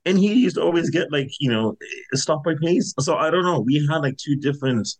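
A man's voice: a little high, lively intonation, fairly quick. A man in his thirties from Zimbabwe, talking loosely in an interview with lots of fillers and restarts. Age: 30s. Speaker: guest. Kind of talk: casual interview chat.

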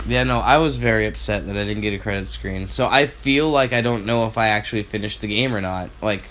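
A man's voice: on the low side, brisk, fairly bright tone, a little hiss or hum. A young man co-hosting a podcast, telling stories in a casual, rambling, sweary way. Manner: profane, filler-heavy storytelling. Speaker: podcast co-host. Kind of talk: casual chat.

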